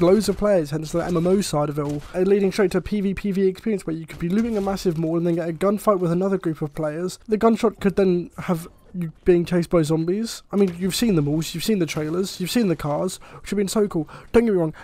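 A man's voice: sits high, dark tone, no room sound. A young man with a cold and a slightly chesty voice, ranting and swearing with exasperation over gameplay footage. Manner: exasperated, swears often, rambling. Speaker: gaming YouTuber.

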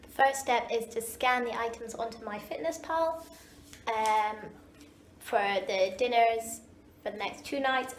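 Young woman, Scottish accent, fairly high voice, chatty cooking vlog, roomy kitchen echo. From Scotland. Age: young adult. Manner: chatty, upbeat, talks straight to camera. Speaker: student vlogger. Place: small kitchen, indoors. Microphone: camera mic, handheld and propped up. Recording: echoey kitchen with faint background noise.

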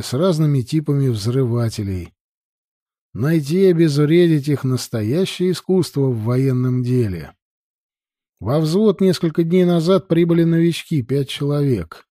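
A man reads an action novel as a scripted narration. His voice is mellow and moves animatedly in pitch, with measured, dramatic phrasing. The recording is dry and close, free of room sound. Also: no echo. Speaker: audiobook narrator.

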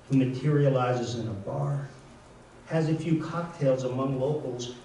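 An older man reading his poems aloud in a measured, wry way, with casual asides between them. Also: mid-range voice, mellow, slight background noise. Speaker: poet reading his work. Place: lecture room at a reading series. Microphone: handheld mic on a stand, close. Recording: echoey room, mic at a distance.

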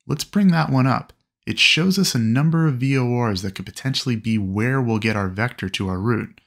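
A man narrating instruction in a clear, explanatory way. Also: mid-range voice.

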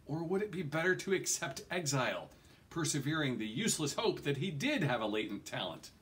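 A man's voice: on the high side, sing-song, a little fast, fairly bright tone. A man in his forties reading a fantasy novel aloud in a theatrical storytelling manner, with the odd small stumble. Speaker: reader. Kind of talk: expressive audiobook-style reading of a fantasy novel. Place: small home room, indoors.

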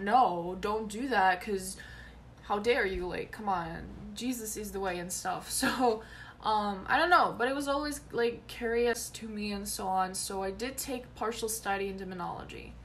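A woman's voice: mid-range voice, fairly bright tone, a little hiss or hum. A young woman whose first language is Ukrainian, chatting to her phone camera in a small bedroom and rambling with many fillers. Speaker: vlogger. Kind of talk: casual chat to camera. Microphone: phone camera close up.

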